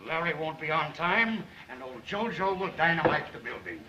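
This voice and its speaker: A man's voice: on the high side, lively intonation, moderate pace, close to an everyday voice. A man acting a slangy newspaper staffer, dry and wisecracking, in a 1930s film. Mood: wry. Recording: noisy dull 1930s film soundtrack.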